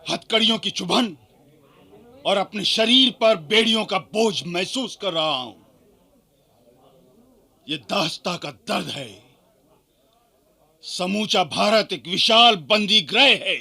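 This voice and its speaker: An impassioned nationalist orator in a radio play. His voice is high and gravelly, with a bright, forceful edge. He declaims rhetorically and with great weight. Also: full character voice. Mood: anguished, solemn. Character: defiant Indian freedom-movement leader, impassioned orator facing arrest. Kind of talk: theatrical radio play speech.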